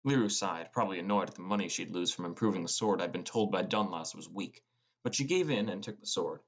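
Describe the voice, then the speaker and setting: A man's voice: sits low, fast, gravelly. A man narrating a scripted first-person fantasy audiobook as a teenage boy gamer.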